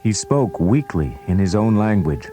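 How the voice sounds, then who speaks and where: A man's voice: on the low side, big pitch swings, a little slow, warm tone, soft background music. A man reading scripted storybook narration as the narrator of a read-along recording.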